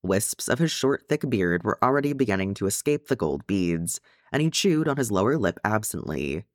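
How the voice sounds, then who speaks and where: A man's voice: lowish voice, big pitch swings, normal speed, no echo, clean studio sound. A man reading a story aloud as narrator, in scripted audiobook style. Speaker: fan fiction narrator.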